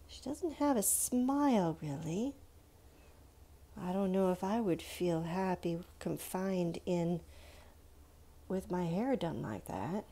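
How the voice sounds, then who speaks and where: A woman speaks slowly at a medium pitch, as a teacher would to young children. She explains in short phrases with pauses and puts simple questions to her listeners as she goes. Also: darkish tone. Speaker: art teacher and presenter. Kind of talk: children's art lesson, read-aloud and explaining.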